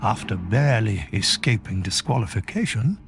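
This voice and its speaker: An actor playing an elderly, solemn wizard headmaster in a video game, speaking with measured formality in a gravelly, husky voice. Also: male voice, on the low side, big pitch swings, heavy background noise. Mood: solemn, ceremonial. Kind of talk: ceremonial speech in a video game.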